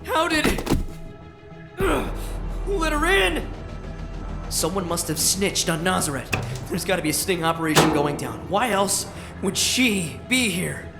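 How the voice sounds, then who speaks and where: Man playing Oz, an anxious, high-voiced worrier. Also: big pitch swings, vocal fry, echoey room, heavily acted. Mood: panicked. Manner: muttering, halting, talks himself through it. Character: anxious, protective man named Oz, panicking to himself. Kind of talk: fandub voice acting, dramatic monologue. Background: music.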